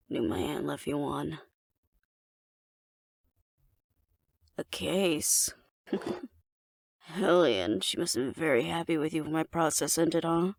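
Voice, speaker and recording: Woman playing a flirty, gravelly alpha werewolf woman. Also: low voice, dry recording, studio quality, heavily acted. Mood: casual, teasing. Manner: teasing, coaxing, playfully domineering. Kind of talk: intimate scripted audio roleplay addressed to the listener.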